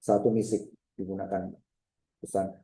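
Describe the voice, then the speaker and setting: Male lecturer, very dark soft-edged tone, slight cough, repetitive step-by-step explaining, roomy echo. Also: sits low, level tone, moderate pace. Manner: repetitive, checking with frequent short tags. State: bit of a cough, clearing throat. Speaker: lecturer teaching a software diagram class. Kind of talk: informal step-by-step screen demonstration lecture. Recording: echoey room sound.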